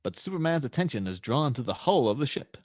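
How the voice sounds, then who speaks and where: A man's voice: medium pitch, sing-song, quick pace, darkish tone, no echo, clean studio sound. A man narrating scene descriptions and stage directions for a script table read.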